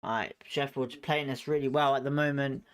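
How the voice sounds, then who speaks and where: A man's voice: medium pitch, fairly even intonation, a little fast, fairly bright tone, clean tone. A man hosting a live fan watchalong at home, calling the play and chatting his opinions while hedging them. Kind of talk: live fan commentary over a match.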